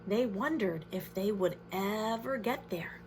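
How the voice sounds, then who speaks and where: Slow, animated woman reading to children. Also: mid-range voice, background noise. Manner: addresses young listeners as friends, explains simply. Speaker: teacher reading a picture book aloud. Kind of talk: expressive children's read-aloud with explanatory asides.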